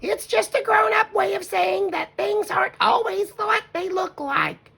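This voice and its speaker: A narrator voicing a motherly, sensible female bear in a children's storybook, gently instructive and proper. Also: very high-pitched, unhurried, vocal fry, pitched above the performer's own voice, exaggerated voice.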